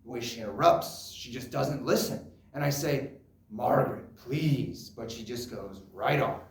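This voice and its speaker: A man playing a proud, stubborn father of nearly eighty in a solo stage drama, gruff and rambling as he brushes off concern. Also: medium pitch, a little slow. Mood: lonely, complaining. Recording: roomy, echoing live recording.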